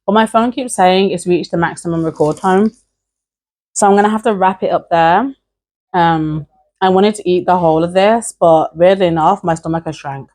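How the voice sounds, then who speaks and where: A woman's voice: lowish voice, normal speed, a little mellow. A woman vlogger talking casually and informally to her viewers, hesitant and peppering her sentences with filler words. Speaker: YouTube creator and host. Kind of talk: casual chatty vlog to camera.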